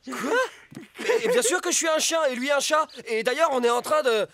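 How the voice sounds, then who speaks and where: High, animated male cartoon fox. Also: brisk, gravelly. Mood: earnest. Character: scheming, excitable male fox posing as a pet dog. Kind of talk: French cartoon dubbing. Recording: music under the voice.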